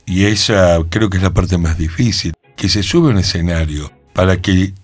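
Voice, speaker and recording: Low-voiced Argentine man, measured phrasing. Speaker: interview participant. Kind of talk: reflective radio conversation. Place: radio studio.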